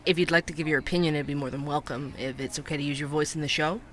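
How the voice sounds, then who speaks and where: A man's voice: on the high side, fairly even intonation, rapid-fire, bright, forceful tone, slight background noise. An actor playing a curious, chatty male podcast host, conversational and wry in an explanatory way, in a scripted audio drama. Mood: friendly, teasing. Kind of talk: scripted fiction podcast narration and interviews.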